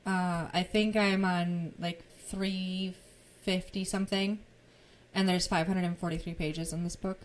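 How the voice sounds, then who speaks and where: A woman co-hosting a podcast, talking earnestly and eagerly in casual chat, with halting fillers. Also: lowish voice, flat delivery. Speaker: podcast co-host.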